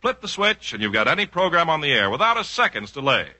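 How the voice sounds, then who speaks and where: A man's voice: a little high, sing-song, fast, bright, forceful tone. A man reading a commercial as a 1940s radio announcer, with an emphatic, punchy sales delivery.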